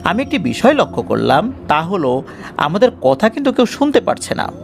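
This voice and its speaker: A man's voice: high-pitched, huge pitch swings, normal speed, gravelly, soft background music. A man narrating a scripted first-person ghost story in a dramatic way.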